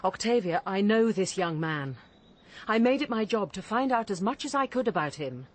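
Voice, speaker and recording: A woman's voice: mid-range voice, animated, moderate pace, close to an everyday voice. An actress with an English accent playing a middle-aged, stern female barrister and cold mother in a radio drama, articulate, caustic and controlled. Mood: grave, warning.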